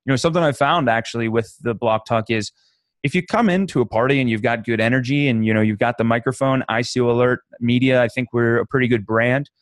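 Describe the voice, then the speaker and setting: Male co-host with a Pittsburgh accent, mid-pitched, loose and profane, dry close mic. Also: quick pace, dry recording. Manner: profane, joking and animated. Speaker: podcast co-host. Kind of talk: casual podcast banter.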